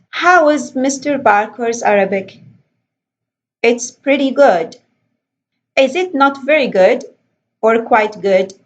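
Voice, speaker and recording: A woman teaching an English lesson, explaining slowly and deliberately and reading questions aloud. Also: on the high side. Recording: dull lo-fi voice recording.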